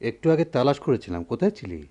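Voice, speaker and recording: A man's voice: mid-range voice, sing-song, rapid-fire, mellow, lo-fi sound. A man playing a stern, brooding elder brother in a radio drama, scolding in formal diction. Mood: irritated. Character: stern, brooding older brother of village girl.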